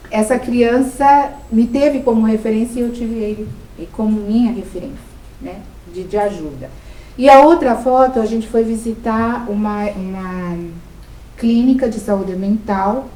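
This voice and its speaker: A woman's voice: a little high, mellow, roomy sound, low-quality recording. A woman giving an informal, heartfelt talk to an audience, telling a story earnestly and often checking in with her listeners. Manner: earnest, emotional storytelling with frequent "né?". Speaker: speaker sharing volunteer experience.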